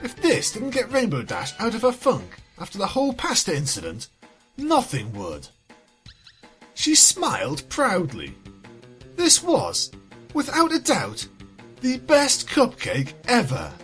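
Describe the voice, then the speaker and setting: A woman's voice: mid-range voice, wildly swooping pitch, measured pace, fairly bright tone. A woman reading fan fiction aloud as a dramatic scripted narration, with a wry, theatrical manner. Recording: music behind the voice.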